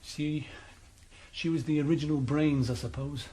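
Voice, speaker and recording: A man's voice: on the high side, steady tone, normal speed, warm tone, a little hiss or hum, natural voice, poor audio. A man playing a cool, menacing crime boss. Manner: menacing, matter-of-fact. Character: cold, menacing middle-aged male crime boss. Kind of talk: radio drama acting.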